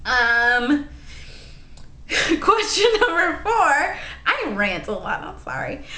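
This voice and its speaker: A woman in her late twenties chatting in a rambling, animated way for her vlog, full of asides and "like.". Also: sits high, takes it slowly, echoey room. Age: 20s. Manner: rambling, animated, lots of "like" and "honey". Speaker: vlogger.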